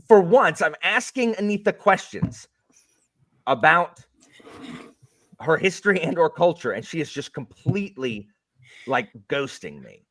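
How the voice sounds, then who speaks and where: A man hosting a livestream, chatting casually in a lively, rambling way. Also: on the high side, unhurried, long pauses, no room sound. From Canada. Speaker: streamer host.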